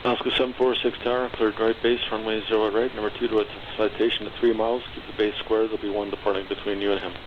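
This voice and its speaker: A man's voice: on the low side, flat delivery, quick pace, hardly any pauses. A man working as an air traffic controller, giving clipped instructions over aviation radio. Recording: muffled noisy aviation radio transmission.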